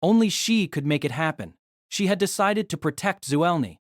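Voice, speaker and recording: A narrator reading a novel aloud in an expressive storytelling manner. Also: mid-range voice, moderate pace, dry recording, studio quality.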